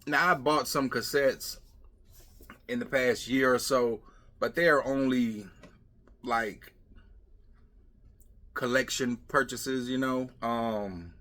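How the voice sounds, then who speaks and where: A man's voice: mid-range voice, bright, forceful tone. A middle-aged man chatting casually on a vlog, muttering asides in a rambling way as he sorts through things. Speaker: vlog host. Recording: clear background noise with clicking, clattering.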